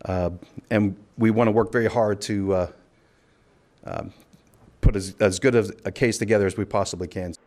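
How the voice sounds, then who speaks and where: A man speaking as a sheriff at a press conference, delivering a formal statement in a measured, official, occasionally halting way. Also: on the low side, steady tone, darkish tone. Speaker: county sheriff.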